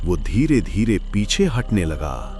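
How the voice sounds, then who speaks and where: Slow, low-voiced man narrating horror. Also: sing-song, a little mellow, faint music behind. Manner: suspenseful, deliberate. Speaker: narrator of a horror audio story. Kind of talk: dramatic scripted horror narration.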